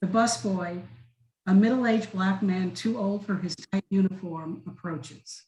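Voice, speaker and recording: A woman's voice: mid-range voice, steady tone, unhurried, a little mellow. A woman narrator reading stage directions at a table read, in an echoey room.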